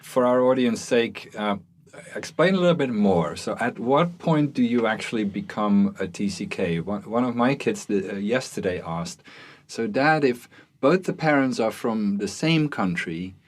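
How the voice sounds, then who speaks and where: A man hosting an interview, asking measured questions with some fillers. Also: lowish voice, animated. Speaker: podcast host and interviewer.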